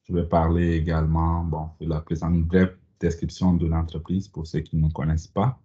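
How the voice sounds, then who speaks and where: Deep, flat-voiced man presenting a webinar. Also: moderate pace, dull audio. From Mali. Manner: hesitant, many fillers and restarts. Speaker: company CEO presenting a webinar. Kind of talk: webinar presentation over a video call. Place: home or office in Canada. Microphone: video call microphone.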